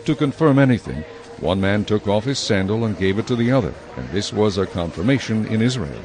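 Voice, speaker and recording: Guy narrating scripture, lowish voice, measured and solemn. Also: sing-song, darkish tone.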